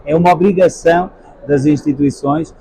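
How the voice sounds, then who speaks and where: A man in his forties from Portugal, with a European Portuguese accent, answering interview questions in a measured way with frequent hesitations. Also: fairly high pitch, steady tone, warm tone, a little hiss or hum, rough recording. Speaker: guest, edtech sales executive. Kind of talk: trade-fair interview answers. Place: busy expo hall.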